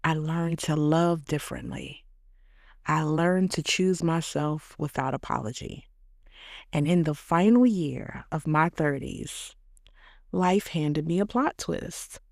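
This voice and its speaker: A woman in her late thirties hosting a podcast, delivering a reflective scripted monologue in slow, measured, affirming short phrases. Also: deep voice, vocal fry, a little mellow, no room sound, clean studio sound. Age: late 30s. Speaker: podcast host.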